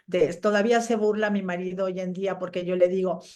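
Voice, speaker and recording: A middle-aged woman with a slightly low voice gives casual interview answers. She grew up in Chile and Mexico and talks in a conversational, anecdotal way, as if retelling memories. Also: little pitch movement, a little fast, a little mellow. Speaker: interviewed scientist guest.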